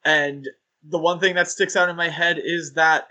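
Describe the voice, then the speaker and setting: A man's voice: fairly high pitch, steady tone, moderate pace, pushed and bright. A man co-hosting a podcast, chatting casually and enthusiastically about comics, with frequent fillers and laughs. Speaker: podcast co-host. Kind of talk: casual chat.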